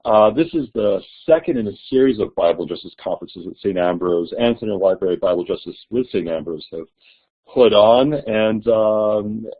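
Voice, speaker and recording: A man hosting a webinar, giving friendly closing remarks with frequent fillers. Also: fairly low pitch. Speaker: webinar host. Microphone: video call. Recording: tinny online call audio.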